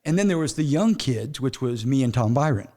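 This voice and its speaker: A man's voice: medium pitch, animated, fairly quick, dark tone. A man in his fifties, a guest in a casual interview, telling long rambling stories and often checking in with "you know what I mean?".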